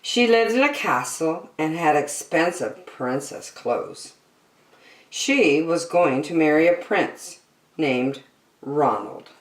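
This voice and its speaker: A woman reading a picture book aloud to children as a storyteller, expressively, with deliberate dramatic emphasis on key words. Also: sits low, expressive. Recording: roomy indoor echo.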